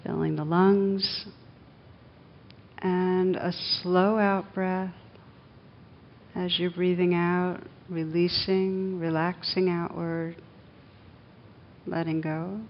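A woman leading a guided meditation as a teacher, speaking gently and soothingly, with long unhurried pauses. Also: level tone. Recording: dull muffled recording with faint rumbling noise.

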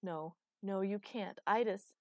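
A woman voicing a desperate, fierce, commanding heroine in a dark fantasy audiobook, emotional and insistent. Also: medium pitch, unhurried, no echo, clean studio sound, close to an everyday voice. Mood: anguished. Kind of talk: audiobook character acting.

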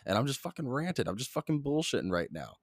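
A young man hosting a solo podcast, rambling in a flat monotone, swearing constantly and jumping between topics, close to the mic. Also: mid-range voice, quick pace, no echo. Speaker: podcast host.

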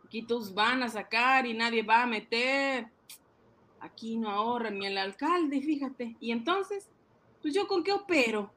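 A man voicing an excitable, boastful ice-cream seller who fancies himself a banker, cajoling and exclaiming in a comic radio drama. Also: very high-pitched, a little slow, voice pushed up, full character voice. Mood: fretting.

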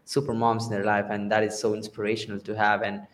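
A man's voice: lowish voice, monotone, quick pace, a little hiss or hum. A man hosting an interview podcast, enthusiastically affirming his guest before moving on to the next question. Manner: enthusiastic, repeats affirmations, says absolutely often. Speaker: podcast host.

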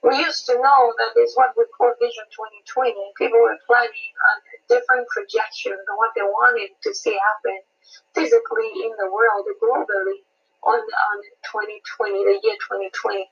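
A woman's voice: on the high side, fairly even intonation, moderate pace, creaky, a bit bright, slightly rough, highs cut off. A woman from Malawi who is a prophetess, praying and preaching a sermon with a fervent, repetitive cadence. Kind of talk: sermon and prayer.

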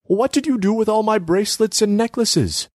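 Man voicing haughty young female artist, high, preachy, dry close sound. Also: expressive, moderate pace, no room sound. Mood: haughty lecturing. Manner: scornful, lecturing, self-important.

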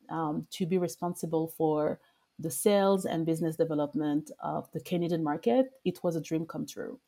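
A woman's voice: on the low side, mellow. A woman from France with a French accent, a podcast guest who speaks with frequent fillers and restarts. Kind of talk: podcast interview.

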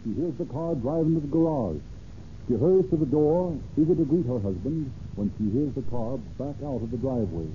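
A man narrating a 1930s radio drama in a formal, deliberate storytelling style. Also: mid-range voice, lively intonation, noisy background, natural voice. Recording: tinny, narrow-band old radio recording.

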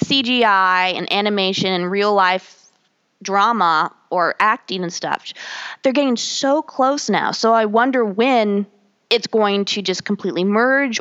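Smooth-voiced woman interviewer. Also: mid-range voice, a bit bright, highs cut off. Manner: enthusiastic, quotes her own reactions. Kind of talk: casual interview chat.

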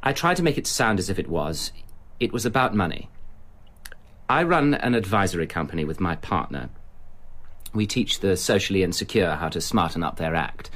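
Slippery defensive suspect in a radio play, self-important, lowish voice that swings around a lot. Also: male voice, expressive, normal speed, a bit bright. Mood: evasive. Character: evasive, defensive male murder suspect, self-important businessman. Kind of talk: radio drama acting.

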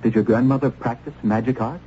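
A man's voice: mid-range voice, fairly even intonation, a little fast, dark tone, lightly acted. An actor playing a compassionate, earnest young clergyman of colonial times, formal and theatrical in a radio drama. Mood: gentle, earnest. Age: young adult. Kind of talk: old-time radio acting. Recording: dull, hissy old radio recording.

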